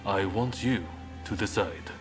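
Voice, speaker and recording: A man's voice: on the low side, unhurried, over music, lightly acted. An actor playing a loyal male samurai, grave and earnest, in a video game cutscene. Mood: solemn, earnest.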